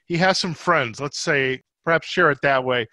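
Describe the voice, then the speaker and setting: A man's voice: fairly high pitch, moderate pace, sharp tone, no echo. A man hosting a podcast interview, asking conversational questions in a roundabout, rephrasing way.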